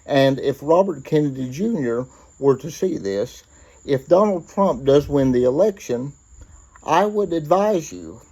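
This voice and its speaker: An American man giving political commentary in a measured, earnest way, stating his own opinions. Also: medium pitch, mellow, breathy edge, poor audio.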